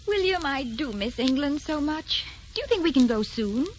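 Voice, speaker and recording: An actress playing a gracious, wistful ambassador's wife, refined and poised, in an old radio drama. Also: female voice, high voice, very animated, normal speed. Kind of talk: 1940s radio drama acting. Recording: dull old radio recording.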